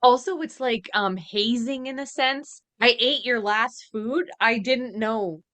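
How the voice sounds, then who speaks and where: A woman's voice: a little high, slow pace, bright, forceful tone, no room sound. A woman co-hosting a podcast with casual, sarcastic reaction commentary, often mimicking others mockingly. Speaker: podcast co-host.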